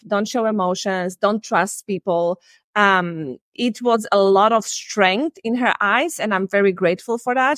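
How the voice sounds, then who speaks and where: A woman's voice: mid-range voice, dry recording, clean studio sound. A woman in her forties from Poland with a Polish accent, earnestly telling her own story as a life and relationship coach on a video call. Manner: earnest, reflective, slightly non-native phrasing. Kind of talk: candid personal storytelling. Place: home room, indoors.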